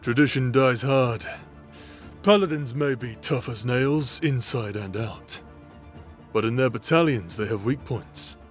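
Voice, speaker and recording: A man's voice: medium pitch, unhurried, lightly acted. A man playing a swaggering, foul-mouthed demonic ex-paladin in an audio roleplay drama, taunting and vengeful. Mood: dry, smug. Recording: music under the voice.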